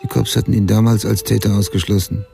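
A man's voice: lowish voice, level tone, quick pace, creaky, mellow, soft background music. An actor in a radio play playing an obsessed crime writer who narrates his investigation in a terse, restrained way. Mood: brooding, matter-of-fact.

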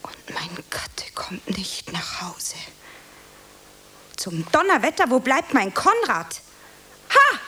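Slow, high-voiced dreamy young actress. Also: female voice, huge pitch swings, bright, forceful tone, a little hiss or hum, exaggerated voice. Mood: melodramatic. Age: young adult. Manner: theatrical, declaiming, whimsical. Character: dreamy young aspiring actress, self-dramatizing and earnest. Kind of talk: radio play acting, theatrical monologue.

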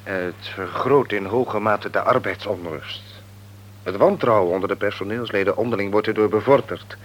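Man acting curt detective chief, lowish voice, blunt and sure of himself, old radio play. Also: normal speed, natural voice. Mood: blunt, accusing. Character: curt, self-assured male company detective chief.

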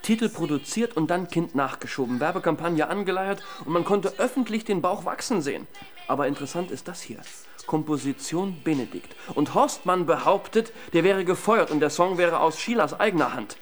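An actor playing a wisecracking, dryly pedantic police detective sidekick in a German radio crime drama. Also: male voice, a little high, expressive, a little fast, soft background music, natural voice. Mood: smug, explaining. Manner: dry, wisecracking.

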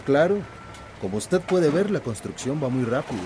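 An actor playing a deferential, uneasy local construction worker in a radio drama. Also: male voice, mid-range voice, sing-song, moderate pace, noisy background, lightly acted. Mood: respectful, uneasy.

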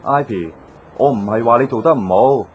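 A mid-pitched male voice with faint background noise, an actor as a satisfied business client in a radio play. He is effusive, chummy and full of flattery. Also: normal speed, highs cut off, lightly acted. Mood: jovial, grateful. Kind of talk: Cantonese radio drama acting.

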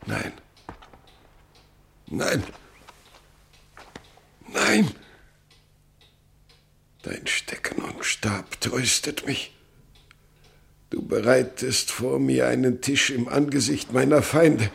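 An actor playing a brooding, troubled clergyman in a German radio play, with a creaky voice and halting delivery. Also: mid-range voice, animated, fairly bright tone, full character voice. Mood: brooding, anguished. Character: brooding, troubled clergyman preparing a funeral speech.

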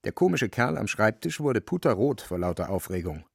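A man narrating a literary radio play as scripted storytelling, with a creaky voice. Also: fairly low pitch, sing-song, a little fast, no echo, studio quality, full character voice.

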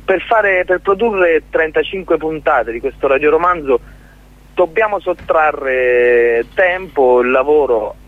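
A man being interviewed as a guest about his theatre collective, talking in a halting way with many restarts and repeated words. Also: a little high, no echo. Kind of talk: casual radio interview. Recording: tinny phone line.

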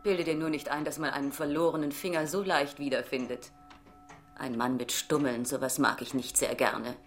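An actress playing a nagging, self-centred wife in a German radio play, with a haughty, reproachful manner. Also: female voice, rumbling low, steady tone, normal speed, faint music behind. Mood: scornful reproach.

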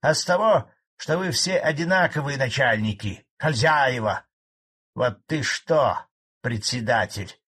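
A man voicing a spiteful old male collective farmer who rants gruffly and defiantly. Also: on the high side, expressive, measured pace, a bit bright, pitched above the performer's own voice, heavily acted. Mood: angry.

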